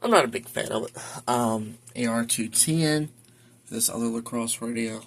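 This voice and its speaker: A man talking casually and haltingly through an unscripted show-and-tell as a hobbyist. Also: medium pitch. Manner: halting, hesitant, informal. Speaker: hobbyist video host showing a weather radio collection. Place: indoor room at home. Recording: noisy home video with clicks.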